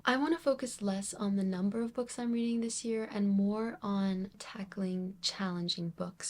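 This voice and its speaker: A woman's voice: mid-range voice, vocal fry. Enthusiastic woman hosting a book vlog. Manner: enthusiastic, expressive. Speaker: book reviewer video host. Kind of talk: casual book wrap-up vlog, talking to viewers.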